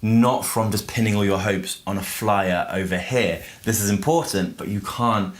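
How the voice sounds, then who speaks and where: A man's voice: lowish voice, moderate pace. A man giving an informal review in an explanatory way, using everyday examples and questions. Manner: explanatory, uses everyday analogies and rhetorical questions. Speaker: book reviewer and software business owner. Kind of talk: informal book review to camera.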